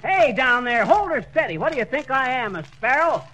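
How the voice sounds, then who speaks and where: A man acting the blustering husband in a 1940s radio sitcom, excitable and quick to shout. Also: extremely high pitch. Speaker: comic lead of a radio sitcom.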